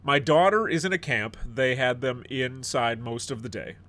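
A man's voice: mid-range voice, moderate pace, a bit bright. A middle-aged man hosting a podcast in casual chat, a bit stuffed up and sniffly, rambling and joking. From United States. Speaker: podcast host.

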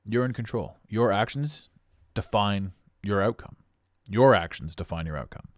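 Podcast host, raspy middle-aged man, deep and blunt, dead-dry sound. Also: animated, moderate pace, no echo. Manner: blunt, preachy, emphatic. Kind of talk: motivational monologue.

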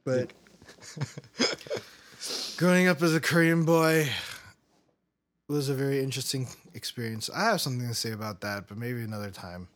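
A man's voice: mid-range voice, moderate pace, long pauses, a bit bright. A man co-hosting a casual chat podcast, rambling and laughing often between his words, recorded on a cheap makeshift mic. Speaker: podcast co-host.

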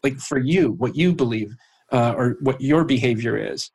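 A male podcast host with a medium-pitched voice asks questions in a casual, conversational way. He thinks aloud and circles a little before he lands on the question. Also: normal speed, darkish tone, slightly rough.

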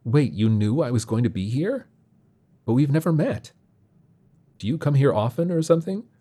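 A man voicing an anxious, excitable young man who blurts incredulous questions and stammers. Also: on the low side, moderate pace, natural voice. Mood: worried questioning.

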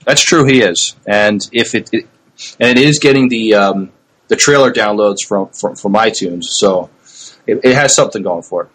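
A man co-hosting a podcast, talking casually in a hesitant, halting way with repeated words. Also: on the low side, a bit bright, slightly rough, rough recording. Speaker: podcast co-host. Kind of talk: casual sci-fi news chat.

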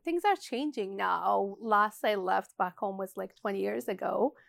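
A woman's voice: mid-range voice, unhurried, vocal fry, no room sound. A woman in her forties from Ethiopia, talking in an interview in a hesitant way, with many fillers and restarts. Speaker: interview guest, shop co-owner. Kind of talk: casual interview.

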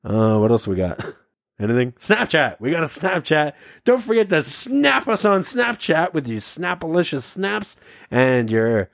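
A man's voice: fairly high pitch, very animated, unhurried, gravelly, no room sound, clean studio sound. A man co-hosting a comedy podcast, rambling goofily through the show's sign-off plugs and laughing often. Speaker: podcast co-host. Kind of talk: casual comedy podcast banter.

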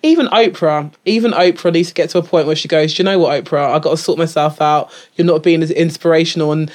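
A woman's voice: low voice, fast talker. This is a woman talking loosely as a podcast guest. She starts over a lot, fills gaps with ums and likes, and breaks into laughs between thoughts. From England. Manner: animated, full of restarts and fillers, laughs often. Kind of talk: casual interview chat.

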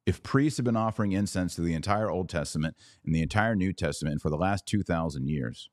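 Deep-voiced man hosting a podcast, sarcastic. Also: dry recording, studio quality. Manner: animated, sarcastic, jumps to high incredulous exclamations; slow deliberate Latin prayer recitation. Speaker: podcast host. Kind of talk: casual commentary podcast.

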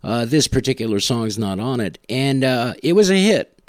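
A man speaks as a music show host in a medium-pitched voice, close and dry on the mic. He tells casual stories with a wry, self-deprecating manner. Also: big pitch swings, normal speed, darkish tone, no echo. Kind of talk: casual music commentary and anecdotes.

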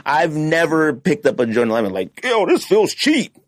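A man's voice: on the high side, very animated, moderate pace, dry recording, clean studio sound. A man talking in a casual, slangy and emphatic way, like a podcast host bantering with a friend.

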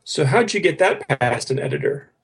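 A man's voice: on the high side, lively intonation, moderate pace, vocal fry, breathy edge. A man hosting a podcast, asking curious questions in a casual interview.

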